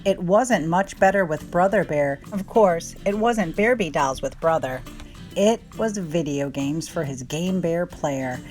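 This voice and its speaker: Female storybook narrator, expressive read-aloud for young children, music underneath. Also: lowish voice, measured pace.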